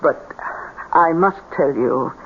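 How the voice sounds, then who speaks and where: An actor playing a smooth-talking, scheming séance host with a measured, formal, solicitous manner in an old radio drama. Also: mid-range voice, lightly acted. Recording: tinny, noisy old radio recording.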